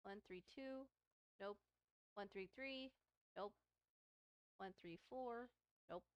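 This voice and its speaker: A woman's voice: mid-range voice, takes it slowly, dry recording. A woman chatting casually as she hosts a gaming let's-play, rambling hesitantly and often correcting herself. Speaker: game streamer, host.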